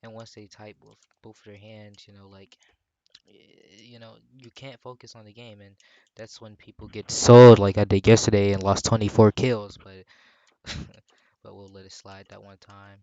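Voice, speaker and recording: A man's voice: fairly low pitch, flat delivery, normal speed, highs cut off. A young man with a sore throat and stuffy nose, rambling through casual gameplay tips with lots of fillers and slang. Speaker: gaming YouTuber. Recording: loud game gunfire behind the voice. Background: gunshots and clicks from the game.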